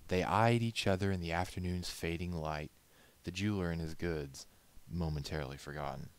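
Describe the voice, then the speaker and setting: A man reading a story aloud as scripted narration, in a measured, literary storytelling manner. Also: low-pitched. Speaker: amateur audiobook reader.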